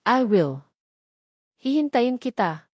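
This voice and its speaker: A woman's voice: a little high, very animated, slow pace, a little mellow, dry recording, studio quality, voice pushed up, exaggerated voice. A woman with a Filipino accent voicing a remorseful young wife in an audiobook romance, earnest and pleading. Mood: tender.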